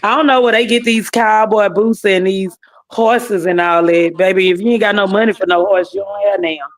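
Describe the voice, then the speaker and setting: A woman with a Houston, Texas accent talks in a blunt, gossipy way. She is on a remote call, heard dry and close. Her pitch sits in a plain middle range. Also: steady tone, normal speed, dry recording. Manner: blunt, gossipy, Southern slang. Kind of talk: casual chat.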